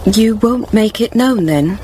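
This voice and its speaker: An actress playing a gentle, dutiful gentleman's wife in a radio drama, composed and consoling. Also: medium pitch, lively intonation, unhurried, background noise, close to an everyday voice. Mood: soft, consoling.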